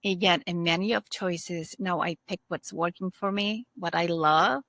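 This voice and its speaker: A woman's voice: lowish voice, measured pace, dry recording. Emphatic woman with a Turkish-German accent. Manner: animated, emphatic, repeats herself. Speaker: podcast host. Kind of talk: casual podcast conversation.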